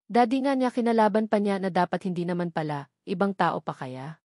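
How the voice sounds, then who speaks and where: A woman narrates a romance story in the first person. Her voice sits at a middle pitch, and the recording is dry and close, like an audiobook. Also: a little fast, darkish tone, no echo. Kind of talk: scripted first-person novel narration with acted dialogue.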